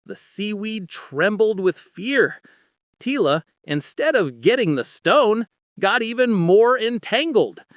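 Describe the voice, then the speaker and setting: A man narrating a bedtime story in a gentle, soft, unhurried way. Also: high-pitched, expressive, no echo, studio quality.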